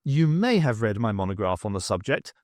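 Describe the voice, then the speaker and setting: A man's voice: on the low side, big pitch swings, a little fast, darkish tone, no echo, studio quality, pitched below the performer's own voice. A man voicing a formal, self-important male scholar in an audiobook, pedantic in manner.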